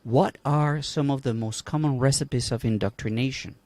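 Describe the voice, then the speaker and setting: A man's voice: medium pitch, normal speed, darkish tone, no room sound. A man from Colombia speaking emphatically on a livestream panel, asking rhetorical questions. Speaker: panel guest.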